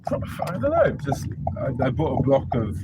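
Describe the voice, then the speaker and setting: A man's voice: mid-range voice, huge pitch swings, normal speed, far from the mic. A man in his late twenties with an English accent, a passenger who chats casually and curiously, often hesitating and restarting. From England. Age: 20s. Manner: hesitant, curious, lots of fillers. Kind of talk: casual chat. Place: back seat of a car. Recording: loud car noise. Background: vehicle ambience.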